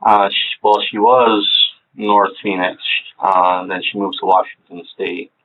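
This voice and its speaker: A man's voice: little pitch movement, measured pace, slight background noise. A man in his forties who grew up in Hawaii, answering questions hesitantly with many fillers in a police interview room. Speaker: interrogation subject. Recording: tinny low-fidelity room recording.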